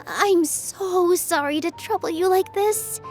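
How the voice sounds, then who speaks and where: A gentle young dancer, very high and soft-spoken, polite, faint game music behind. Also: female voice, slow. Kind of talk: video game voice acting.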